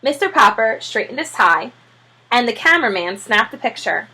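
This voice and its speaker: A woman reads a children's storybook aloud like a teacher to a class. Her voice is fairly high and bright, with a slightly husky edge and very lively intonation. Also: moderate pace, low-quality recording. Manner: expressive, acts out character voices.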